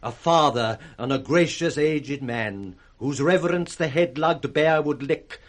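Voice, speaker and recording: An actor playing a grave, morally outraged noble husband with a British accent, declaiming in 1960s radio Shakespeare style. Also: male voice, on the high side, measured pace, lightly acted. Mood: grave reproach.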